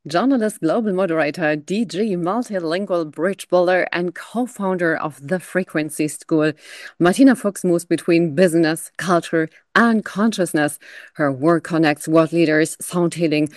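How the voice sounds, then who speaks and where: A woman hosting a radio interview, reading a formal introduction and asking questions with hesitant pauses. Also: fairly low pitch, a little mellow, no echo, clean studio sound. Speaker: radio show host.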